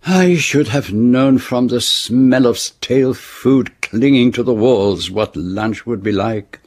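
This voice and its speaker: An actor playing a fastidious, self-important foreign detective with French as his native tongue, speaking precisely and formally in a radio drama. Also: medium pitch, a little mellow. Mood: measured, fastidious.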